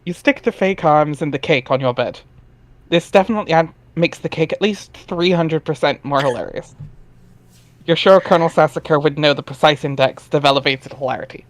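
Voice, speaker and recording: A man's voice: a little high, brisk, no echo. A man narrating a webcomic dramatic reading in a wry, informal way, with casual asides.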